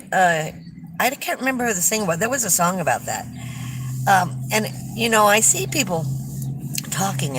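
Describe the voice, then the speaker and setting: Loud-voiced older woman, chatty livestream host. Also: fairly low pitch, a little hiss or hum, low-quality recording. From California. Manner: chatty, warm storytelling with restarts. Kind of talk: casual livestream chat reading viewer comments.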